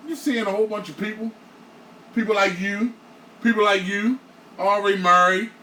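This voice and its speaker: A man in his late thirties with a fairly high voice talks in an animated, repetitive way. He sits back from the mic in an echoey room. A faint hiss sits under his voice. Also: normal speed, far from the mic. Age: 30s. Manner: animated, repeats himself, acts out his own thoughts. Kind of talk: casual panel discussion.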